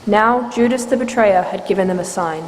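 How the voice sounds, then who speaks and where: A woman reads scripture as narrator in a formal, measured way, at a medium pitch. Her voice carries through a church with long reverb and faint background noise.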